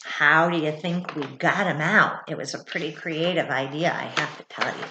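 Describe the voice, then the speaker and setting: A middle-aged woman reads a children's book aloud like a teacher, expressively, pausing for asides and questions to young listeners. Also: deep voice, noisy background. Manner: expressive, with dramatic gasps and thoughtful hums. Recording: roomy, echoey indoor sound.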